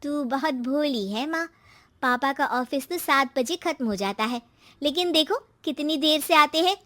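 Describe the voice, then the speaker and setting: A woman's voice: sits high, a little slow, fairly bright tone. A woman voicing a scheming, jealous young daughter in a storybook tale, insinuating and complaining.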